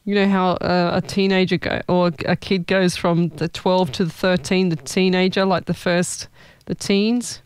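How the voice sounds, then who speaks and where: A woman's voice: fairly low pitch, flat delivery, moderate pace, hardly any pauses, clean tone. A woman hosting a casual live chat as a psychic medium, talking in an informal, exclaiming way.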